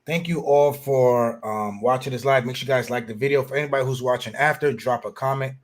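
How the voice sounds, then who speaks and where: A man's voice: mid-range voice, fairly even intonation, a little fast. Laid-back male live stream host.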